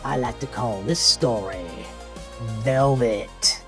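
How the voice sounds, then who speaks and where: A man's voice: mid-range voice, takes it slowly, over loud music. A man playing a folksy, gleefully morbid horror host uncle in an audio drama, with a teasing, conspiratorial drawl. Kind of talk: 1980s horror anthology host intro.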